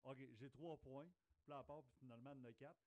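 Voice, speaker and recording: A man's voice: medium pitch, fairly even intonation, very fast, a little mellow, no echo. A man with a Quebec French accent chatting casually as co-host of a teaching podcast. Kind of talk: casual conversational podcast talk.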